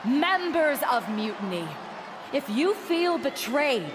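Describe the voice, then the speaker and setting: In noisy arena sound, a taunting female wrestler cuts a promo in a video game. Her high voice moves slowly and deliberately, confident and pointed. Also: very animated, full character voice. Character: taunting, self-assured female wrestler on the mic.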